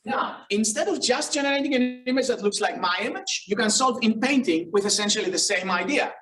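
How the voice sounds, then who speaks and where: A man's voice: high voice, expressive, brisk, over music. A man with a Greek accent giving a casual conference lecture, with frequent fillers and self-corrections. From Greece. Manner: casual, self-deprecating, many fillers and restarts. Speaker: keynote speaker, researcher. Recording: roomy, distant hall sound.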